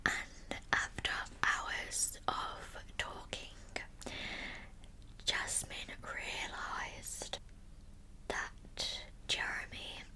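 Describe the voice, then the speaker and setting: Woman whispering asmr true crime, slow and crisp with a bright edge. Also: low-quality recording. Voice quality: soft unvoiced whisper. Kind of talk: whispered ASMR storytelling, reading with chatty asides.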